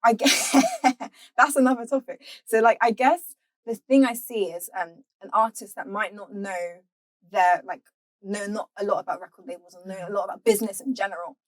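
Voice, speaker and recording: A woman co-hosting a casual panel discussion, tentative and halting as she thinks aloud. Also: medium pitch, no echo, poor audio. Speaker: podcast co-host.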